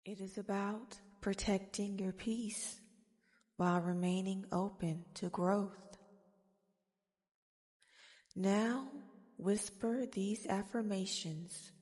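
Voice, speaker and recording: Slow, soft-spoken woman in long reverb. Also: mid-range voice, fairly even intonation. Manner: soothing, gentle, deliberate phrasing. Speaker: guided meditation host. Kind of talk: soft-spoken ASMR guided meditation narration.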